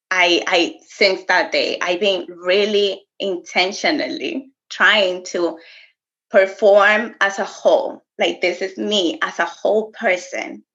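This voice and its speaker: Animated woman chatting, slightly muffled. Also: on the low side. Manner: animated, laughs often, code-switches briefly. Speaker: podcast co-host. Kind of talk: casual conversation.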